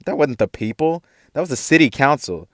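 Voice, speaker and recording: A man's voice: fairly high pitch, lively intonation, fairly quick, a bit bright, no room sound, clean studio sound. A man playing a defiant eighteen-year-old activist in a radio drama, sarcastic and argumentative. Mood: defiant, accusing.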